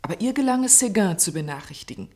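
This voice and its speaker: A woman's voice: fairly low pitch, big pitch swings, quick pace, mellow. An actress playing an earnest, insistent woman pleading for justice in a German radio play, with urgent, emphatic and articulate delivery. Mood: earnest, impassioned. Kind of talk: German radio drama acting.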